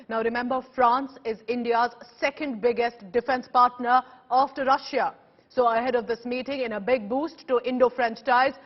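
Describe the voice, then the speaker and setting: A woman presents the news live as an anchor. Her voice is high and her delivery is emphatic. The audio is a little dull and lacks top end.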